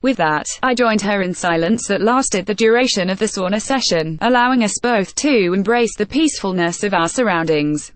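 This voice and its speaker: A woman narrating a travel feature article in a steady, even reading voice with odd pauses. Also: mid-range voice, no echo.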